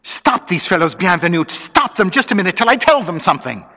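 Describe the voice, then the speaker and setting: A man's voice: high-pitched, very animated, fairly quick, bright, forceful tone, in a large hall, pitched above the performer's own voice, full character voice. A man voicing a meek, anxious little secretary in a story, pleading earnestly and hesitantly.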